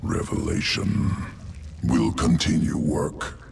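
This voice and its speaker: Super low gravelly bug alien scientist for a game, slow, cold, talks in clipped fragments. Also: male voice, huge pitch swings, heavily acted. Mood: clinical, curious. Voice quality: gravelly, guttural, creaking creature rasp. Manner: clipped telegraphic sentences without articles, detached and deliberate. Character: cold, clinical alien insectoid evolution master of a monster swarm. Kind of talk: video game character acting. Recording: music behind the voice.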